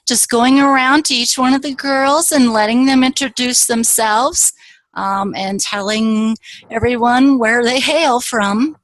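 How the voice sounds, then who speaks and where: A woman from northern Wisconsin hosting an online author panel, talking in a halting way with many fillers. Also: fairly high pitch, no room sound, rough recording. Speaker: panel host. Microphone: video call.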